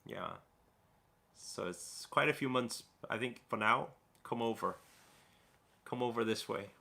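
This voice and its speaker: A man's voice: mid-range voice, unhurried, gravelly, bright, forceful tone. A man from Newcastle hosting a casual livestream Q&A, reading viewer comments aloud and chatting loosely with plenty of fillers and restarts. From Newcastle, England. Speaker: livestream host.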